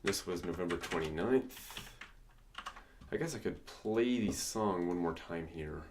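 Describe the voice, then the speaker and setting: A man's voice: low voice, unhurried, background noise. A man streaming his music production at a home studio, chatting casually and thinking aloud while he works, with keyboard typing behind him. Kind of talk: casual livestream commentary.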